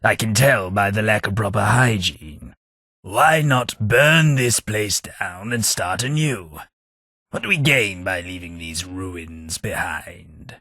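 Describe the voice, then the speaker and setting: A fussy, snobbish companion in a video game, middle-aged and dryly sardonic. The voice is bright and edged, a touch husky, sitting slightly low. The lines come unhurried and measured. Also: male voice, animated, no room sound, exaggerated voice. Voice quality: dry, sardonic delivery. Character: prim, snobbish British male companion, dry wit.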